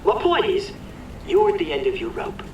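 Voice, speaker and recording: A high-pitched, gloating villain. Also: male voice, huge pitch swings, moderate pace, muffled recording. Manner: mocking, theatrical. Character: gloating, taunting theatrical male villain. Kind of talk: cinematic video game acting. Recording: roomy, distant, noisy background.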